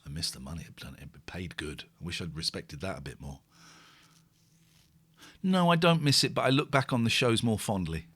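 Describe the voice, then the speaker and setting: A man's voice: low-pitched, lively intonation, moderate pace, fairly bright tone, breathy edge. A man, hosting a casual live stream, rambling and self-mocking as he reads out viewer comments. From England. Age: 40s. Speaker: host.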